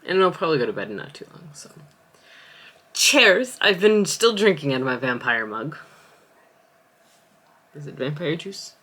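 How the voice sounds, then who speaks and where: A woman's voice: sits low, big pitch swings, normal speed, long pauses, pushed and bright, husky. A young adult woman, an aspiring author, chatting casually at home in a vlog, rambling and correcting herself as she thinks aloud. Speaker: aspiring author vlogging her writing.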